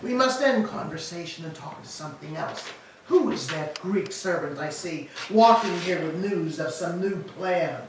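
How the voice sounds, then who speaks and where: A woman's voice: lowish voice, animated, normal speed. A woman performs an old queen of fallen Troy, a stoic, grieving mother in a Greek tragedy. The delivery is formal and declamatory, heavy with lament. It comes from a very reverberant hall, picked up at a distance with faint noise behind. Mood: weary, counselling. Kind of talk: staged reading of Greek tragedy. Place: church, staged reading.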